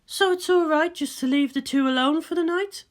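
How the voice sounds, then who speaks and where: A man voicing a cool, sensible woman scientist with a measured, dryly chiding manner in a fan fiction reading. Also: very high voice, voice pushed up. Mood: dry, amused.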